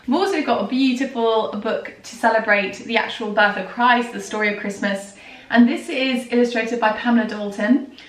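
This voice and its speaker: Hoarse woman gushing over books. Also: a little high, normal speed. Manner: gushing, with many ums and soft laughs. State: hoarse, recovering from a lost voice. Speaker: book reviewer and vlogger. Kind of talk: casual book review chat. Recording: roomy and distant from the mic, faint noise.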